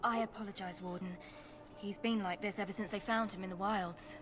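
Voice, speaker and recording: A woman playing a calm, apologetic carer of the wounded in a fantasy game, polite and measured. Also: medium pitch, natural voice. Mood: grave apology.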